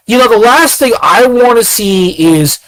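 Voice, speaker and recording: A man's voice: very high voice, lively intonation, measured pace, a bit bright, no echo, low-quality recording. A man in his thirties giving ranting commentary, firing off repeated rhetorical questions. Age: 30s. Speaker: commentator reacting to clips.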